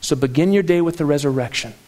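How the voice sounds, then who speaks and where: A man with a notably high voice preaches an earnest sermon, stacking repeated phrases to build his points. His voice rings out with a long reverb, as in a large church hall. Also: fast, mellow.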